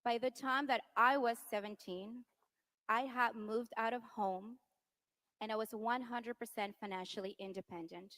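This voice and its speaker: Bright, high-voiced woman giving a rally speech. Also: steady tone, moderate pace. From Venezuela. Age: 30s. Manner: impassioned, rising to rousing shouts on key lines. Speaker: politician's wife speaking at a rally.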